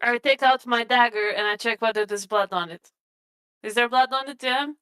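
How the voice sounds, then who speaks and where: Female tabletop player, crisp and forward high voice, casual improvised banter. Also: moderate pace, fairly bright tone. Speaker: player in a tabletop role-playing game.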